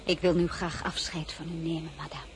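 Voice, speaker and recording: A woman's voice: low voice, level tone, a little fast, slight background noise, close to an everyday voice. A woman playing a proud, candid young royal woman in a radio drama, speaking formally and with restraint. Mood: guarded, resolute. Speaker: lead actress and first-person narrator. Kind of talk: radio drama acting and narration.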